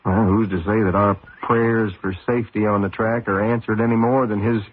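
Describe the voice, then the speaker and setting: Young male racer: cocky, good-natured, lowish voice, conversational radio drama acting, narrow old-broadcast sound. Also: level tone, moderate pace, breathy edge. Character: cocky but good-natured race driver, young husband and father.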